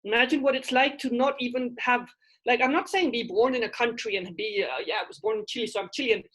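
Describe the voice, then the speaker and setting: A middle-aged woman teaching a university literature class from home, speaking through a headset on a video call, animated and often asking "right?". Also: a little high, fast talker, low-quality recording. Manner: animated, gestures while explaining, asks "right?" often. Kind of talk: online class discussion. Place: home room with bookshelves.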